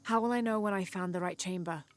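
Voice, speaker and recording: A woman's voice: lowish voice, fast, no room sound, lightly acted. An actress playing a determined young woman adventurer, focused and understated. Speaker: explorer.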